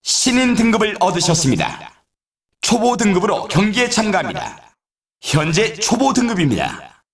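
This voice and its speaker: An excitable snowboarding announcer for a video game. His pitch swings wildly as he delivers polite, dramatic scripted commentary. The sound is echoey and set back from the microphone. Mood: congratulatory. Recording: roomy echo, distant from mic.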